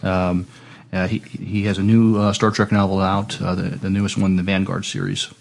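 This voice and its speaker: A man co-hosting a podcast, chatting casually in a hesitant, halting way. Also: low voice, level tone, creaky, slight background noise. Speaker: podcast co-host.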